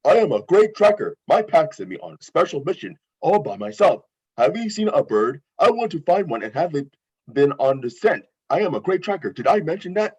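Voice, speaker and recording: Man as eager, husky, naive talking dog. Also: on the high side, big pitch swings, fairly quick, darkish tone, heavily acted. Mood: excited. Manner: childlike, simple earnest wording. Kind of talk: animated film script table read. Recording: muffled lo-fi microphone.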